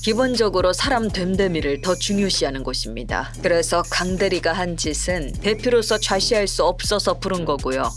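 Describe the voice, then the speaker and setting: A woman playing a stern, principled company chief in a Korean audio drama, measured and authoritative. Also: on the low side, expressive, lightly acted. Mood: stern, disappointed. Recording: background music under the voice.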